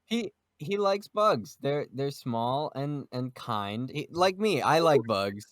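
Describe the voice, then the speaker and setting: A man hosts a casual podcast group chat in a medium-pitched voice. He talks in a joking, teasing way, and the sound is dry with little room echo. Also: big pitch swings, slow, fairly bright tone. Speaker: podcast host.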